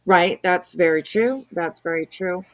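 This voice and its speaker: A woman co-hosting a casual commentary livestream, explaining things in a halting, rambling way. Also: lowish voice, crowd noise. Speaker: livestream co-host.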